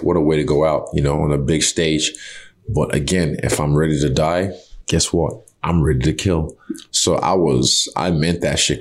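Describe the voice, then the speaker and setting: A man's voice: extremely low pitch, darkish tone. A man whose first language is Yoruba, a fighter talking casually and intensely in an interview, with loose swearing.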